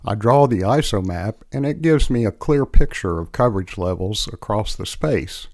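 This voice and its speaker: A man presenting a software demo as scripted tutorial narration, in a measured, explanatory way on a close mic. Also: on the low side, animated, no echo.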